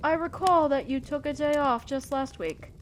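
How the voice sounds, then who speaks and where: A woman's voice: sits high, slight background noise, natural voice. A woman playing an imperious, old-fashioned female demon lord in an audio roleplay, speaking formally and with condescending concern to the listener. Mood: thoughtful, concerned. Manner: commanding, formal, measured, condescending yet concerned. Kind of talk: scripted roleplay monologue addressed to the listener.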